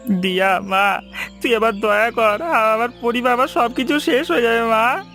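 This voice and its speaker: A man's voice: extremely high pitch, steady tone, normal speed, sharp tone, soft background music, pitched above the performer's own voice, exaggerated voice. A man voicing a panicky, corrupt cartoon doctor in a pleading whine. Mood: desperate pleading. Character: panicky corrupt male doctor, greedy, begging for mercy. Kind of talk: cartoon dialogue.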